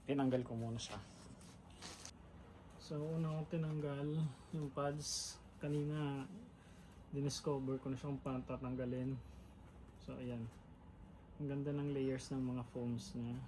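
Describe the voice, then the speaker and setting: Male vlogger, casual and chatty, works while talking, flat delivery, noisy recording. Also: mid-range voice. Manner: chatty, narrates while working with his hands; relaxed, mixes English into his talk. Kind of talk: casual how-to vlog. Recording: clear background noise.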